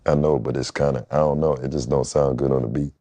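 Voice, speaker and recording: A man with a very deep, gravelly voice, talking slowly and casually about his natural voice in an interview clip. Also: fairly even intonation, dark tone, no room sound. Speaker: YouTuber in played clip.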